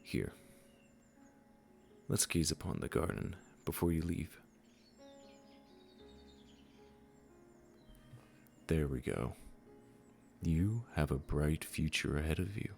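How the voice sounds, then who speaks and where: A man playing a gentle, wise supernatural gardener in a comforting audio roleplay, speaking soothingly and reassuringly straight to the listener. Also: rumbling low, animated, creaky, faint music behind, heavily acted. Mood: reassuring, fond. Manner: soothing, unhurried, reassuring, with soft chuckles.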